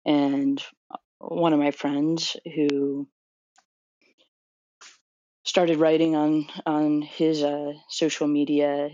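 A woman's voice: low voice, a little mellow, no echo, dull audio. A woman in her thirties from Florida, a doctoral student answering interview questions thoughtfully, with many fillers and restarts. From Florida, USA.

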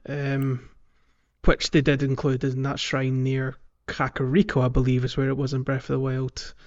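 A man's voice: mid-range voice, completely flat delivery. Muffled man chatting casually about games. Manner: halting, thinking aloud. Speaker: podcast co-host. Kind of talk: casual video game discussion.

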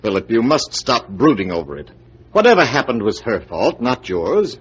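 A husky, scheming blackmailer from an old radio drama. His pitch moves theatrically up and down as he talks down to people with suave menace. The recording is dull and muffled. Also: sing-song, normal speed. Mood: falsely friendly. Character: smooth, scheming, cruel male blackmailer.

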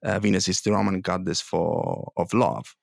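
Italian male co-host, low and gravelly, talks haltingly with restarts. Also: fairly even intonation, darkish tone, no echo, studio quality. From Italy. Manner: halting, with many restarts and fillers. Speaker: podcast co-host. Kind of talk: casual policy news chat.

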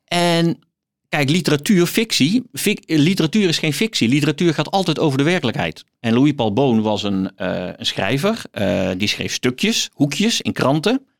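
A man talking as an interview guest, haltingly, repeating words as he searches for his phrasing. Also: on the high side, sing-song. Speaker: guest, philosophy professor. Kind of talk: conversational book interview.

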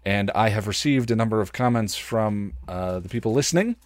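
Low-voiced man, dry close sound. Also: fairly quick, dry recording. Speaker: podcast host and reader. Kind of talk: fan fiction reading and casual podcast chat.

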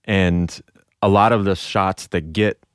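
A man's voice: deep voice, fairly even intonation, slow pace, clear, resonant tone, dry recording, clean studio sound. A man hosting a podcast in a casual, informal, conversational style. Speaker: podcast co-host.

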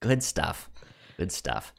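A man's voice: low voice, big pitch swings, slow, gravelly. A man hosting a podcast in casual chat about movies, often stammering and repeating words as he gets into a sentence. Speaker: podcast host.

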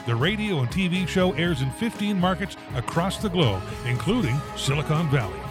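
A man's voice: on the high side, moderate pace. A male announcer reading a scripted, upbeat show intro. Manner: upbeat, lively announcer delivery. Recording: music bed under voice.

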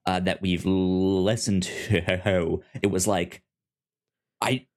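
A man hosting a casual review podcast, informal and halting, stammering over the starts of words. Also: low voice, fairly even intonation, a little mellow. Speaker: podcast host.

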